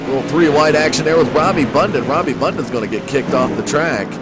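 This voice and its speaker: A man's voice: on the high side, a little fast. A man with a stuffy, congested nose calling a race play-by-play, talking directly to the folks watching. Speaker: race commentator. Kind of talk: play-by-play sim racing commentary. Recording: loud engine noise behind voice. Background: loud race car engine noise.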